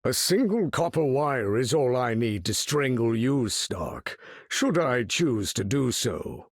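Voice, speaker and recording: Game villain: proud mutant leader, grandiose, unhurried, husky. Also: male voice, mid-range voice, big pitch swings, no echo, studio quality, full character voice. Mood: menacing, scornful. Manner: grandiose, measured, theatrical delivery. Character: proud, menacing mutant leader, commanding supervillain with grand bearing. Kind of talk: video game character voice lines.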